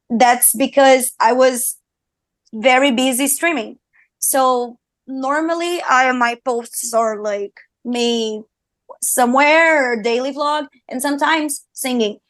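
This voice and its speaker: A woman's voice: sits high, no room sound. A young woman from Brazil with a Brazilian Portuguese accent, a singer and streamer chatting as a guest in an interview, upbeat and full of fillers and restarts. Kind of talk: casual interview chat.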